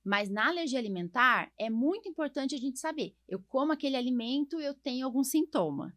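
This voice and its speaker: Casting: Brazilian female podcast host, medical expert, fairly high pitch, informal explanatory chat peppered with tag questions. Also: animated, a little fast, a bit bright. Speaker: podcast host, allergist doctor. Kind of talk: casual podcast conversation.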